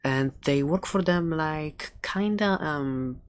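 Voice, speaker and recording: A woman's voice: sits low, slow pace, clean tone. A woman playing a playful, friendly dragon egg seller in an improvised ASMR roleplay, rambling hesitantly with little giggles. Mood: gentle, helpful.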